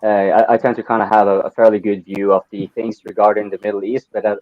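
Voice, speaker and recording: A man's voice: lowish voice, flat delivery, quick pace, no echo, highs cut off. A man from Norway with a Norwegian accent, rambling through a casual political chat with many restarts and fillers. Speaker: participant in an online open mic.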